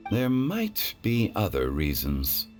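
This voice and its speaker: A man voicing a composed, wise male consultant in an anime game, formal, grave and measured. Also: on the low side, wildly swooping pitch, faint music behind, heavily acted.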